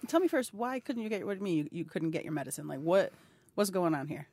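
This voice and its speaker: A woman's voice: on the low side, expressive, quick pace, darkish tone, slightly rough, no room sound. A woman in her thirties interviewing someone, encouraging and curious, asking follow-up questions. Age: 30s. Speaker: interviewer, health advocate. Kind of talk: recorded personal conversation. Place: indoors at a cultural center.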